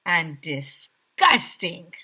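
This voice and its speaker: A woman's voice: fairly low pitch, sing-song, drawn-out words, sharp tone, breathy edge, heavily acted. A woman voicing exasperated school staff in a children's storybook, exclaiming in disbelief. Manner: exclaiming, incredulous. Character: indignant, exasperated school teachers yelling protests. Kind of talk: children's storybook read-aloud.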